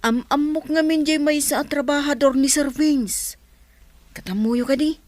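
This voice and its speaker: A woman's voice: high voice, measured pace, darkish tone, no echo. A woman acting a loyal, tattling young household helper in an Ilocano radio drama, eager and confiding. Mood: confiding, concerned.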